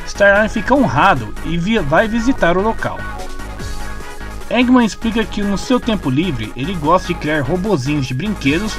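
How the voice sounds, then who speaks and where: A man with a Brazilian accent reading a scripted retelling of a story as its narrator. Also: on the high side. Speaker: narrator of comic story summaries. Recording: music clearly playing behind the voice. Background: background music.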